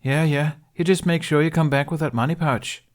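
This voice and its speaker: A wary village widow, played by a male narrator in an audiobook. She is curt and no-nonsense, speaking in clipped folksy phrases at a pitch lifted well up. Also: fairly even intonation, brisk, close to an everyday voice. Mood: dismissive. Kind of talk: audiobook character voice.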